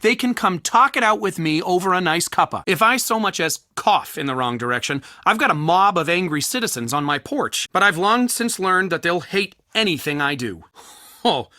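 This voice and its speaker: A man's voice: high-pitched, expressive, normal speed, bright, forceful tone, dry recording, clean studio sound. A man playing an eccentric, anxious, bookish museum curator in a video game, with a theatrical, wordy and wryly dramatic delivery. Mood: exasperated. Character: eccentric, bookish, anxious male culture center curator Soren.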